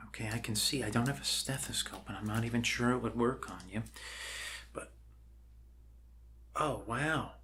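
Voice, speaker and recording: A man's voice: medium pitch, normal speed, long pauses, pushed and bright. Rambling man in noisy ASMR doctor roleplay. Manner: rambling, hesitant, apologetic. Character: awkward, unconventional, reassuring doctor welcoming an alien. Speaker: ASMR performer playing a doctor. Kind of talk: ASMR roleplay, improvised soft talk. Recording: clear background noise.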